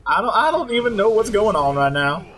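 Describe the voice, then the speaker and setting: A man's voice: high-pitched, very animated, brisk, fairly bright tone, a little hiss or hum. A man voicing a goofy, confused ancient Egyptian man with casual slang and a teasing manner, while playing a co-op game. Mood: joking. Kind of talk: co-op game commentary.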